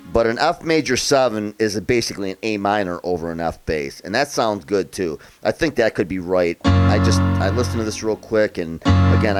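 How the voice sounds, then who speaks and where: Low-voiced man over loud piano. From Rochester area, New York, USA. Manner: relaxed and chatty, thinks aloud while playing. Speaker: piano tutor. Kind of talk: casual play-by-ear piano tutorial. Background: loud piano playing.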